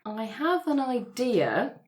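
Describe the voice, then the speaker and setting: A woman taking part in a roleplay podcast, pitching story ideas in casual conversation. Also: sing-song, takes it slowly.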